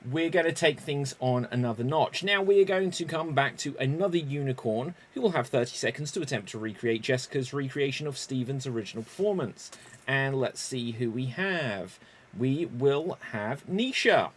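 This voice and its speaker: A man hosting an improv competition, explaining the rules clearly and deliberately. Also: mid-range voice, animated. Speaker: improv show host. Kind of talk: game show hosting.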